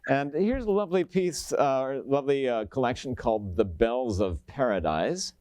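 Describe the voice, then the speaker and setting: A man's voice: medium pitch, expressive, normal speed, a little mellow, dry recording. A man hosting a television interview, speaking appreciatively with a somewhat hesitant delivery.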